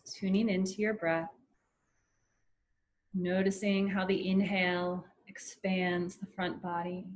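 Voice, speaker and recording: A woman leading a guided meditation in a soothing, unhurried way with long pauses between phrases. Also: fairly low pitch, level tone.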